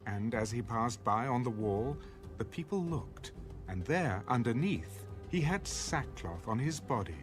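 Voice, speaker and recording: A man's voice: mid-range voice, big pitch swings, measured pace, soft background music. A man giving scripted narration for a dramatized audio Bible.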